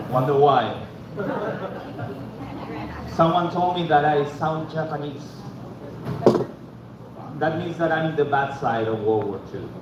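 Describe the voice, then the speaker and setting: A man from Argentina, doing a deadpan, self-deprecating open-mic stand-up set and talking back to the audience. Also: fairly high pitch. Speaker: stand-up comedian. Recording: echoey room from far off, dull, noisy.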